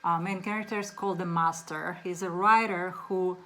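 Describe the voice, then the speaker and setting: A middle-aged woman whose first language is Russian, speaking English with a Russian accent in a casual book talk, unhurried, with many ums. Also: lowish voice, fairly even intonation, fairly bright tone. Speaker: book reviewer.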